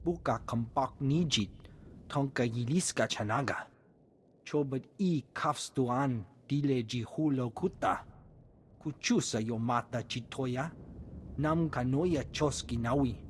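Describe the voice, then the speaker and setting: A man's voice: medium pitch, measured pace, a little hiss or hum, lightly acted. An actor voicing a male alien soldier who speaks an invented tongue in a video game. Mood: insistent.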